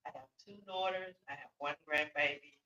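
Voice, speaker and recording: A woman in her fifties introduces herself as a panelist. Her voice is very bright and forceful, and it sounds slightly distant from the microphone. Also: deep voice, steady tone, fairly quick, roomy sound, dull audio. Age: 50s. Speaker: panel guest. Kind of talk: brief self-introduction.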